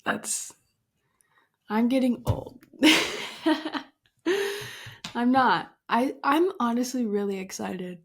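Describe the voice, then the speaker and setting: Young female podcast host, early twenties, unhurried and giggly, emphatic motivational chat. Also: a little high, noisy background. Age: 20s. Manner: bubbly, giggly, emphatic, casual with mild swearing. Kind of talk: casual solo podcast talk.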